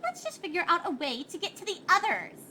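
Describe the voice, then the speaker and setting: A woman's voice: very high-pitched, wildly swooping pitch, fairly quick, bright, forceful tone, a little hiss or hum, pitched above the performer's own voice, full character voice. A woman voicing a bratty, hot-tempered young filly pony in a snappy, indignant manner for a story reading. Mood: determined.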